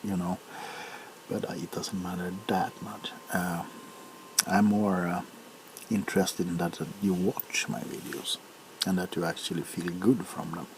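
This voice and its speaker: A man with a low voice chats in a loose ASMR style. He talks very slowly and hesitantly, pausing as he goes. A faint hiss sits behind the voice. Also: little pitch movement. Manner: halting, unhurried, hesitant. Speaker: ASMR video creator. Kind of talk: ASMR rambling chat.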